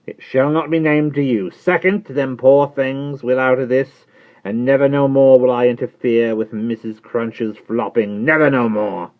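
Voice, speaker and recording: A man voicing a trembling, uneducated manservant who speaks slowly and solemnly, holding forth as if from a pulpit. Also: medium pitch, steady tone, narrow, tinny audio, pitched above the performer's own voice, natural voice. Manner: slow, pompous, preachy and ungrammatical.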